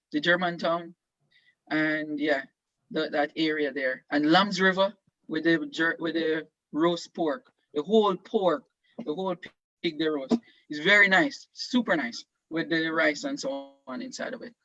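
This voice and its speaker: A man's voice: fairly high pitch, bright, forceful tone, dry recording, lo-fi sound. A man casually hosting an online event as he introduces guests. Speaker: host. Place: online video call.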